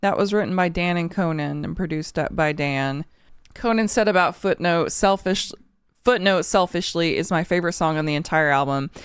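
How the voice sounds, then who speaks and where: Sniffly woman doing an album reaction, smooth clear voice, super dry close mic, emotional and candid, swears a bit. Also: lowish voice, normal speed, no echo. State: sniffly from a cold. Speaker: music reaction channel host. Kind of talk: casual album reaction and review.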